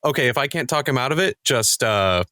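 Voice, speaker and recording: A man's voice: mid-range voice, lively intonation, fairly quick, bright, forceful tone, no room sound, studio quality. A man chatting casually as a member of a podcast cast, recalling moments with his fellow players. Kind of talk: casual chat.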